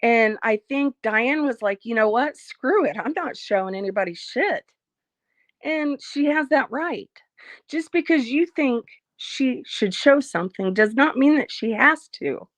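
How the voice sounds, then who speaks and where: A woman hosting a casual livestream, reading out viewer comments and speaking frankly about her life, slightly hoarse as she loses her voice. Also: fairly high pitch, lively intonation, measured pace, no room sound. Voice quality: frank, blunt, swears freely. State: losing her voice, a bit hoarse. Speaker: livestream host.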